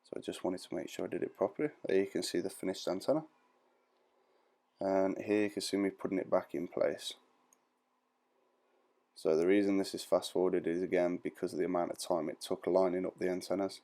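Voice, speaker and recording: A man with a very low, gravelly voice narrates an informal how-to. His tone is soft-edged and dark. He explains each step in plain, reassuring language, like a tutorial presenter. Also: little pitch movement, fairly quick. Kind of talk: informal step-by-step instructional voice-over.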